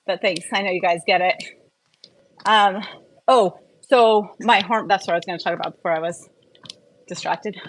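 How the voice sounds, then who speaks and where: A woman's voice: mid-range voice, slight background noise, low-quality recording. A woman chatting casually and candidly in a personal vlog, opinionated and prone to rambling off on tangents. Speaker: wellness vlogger.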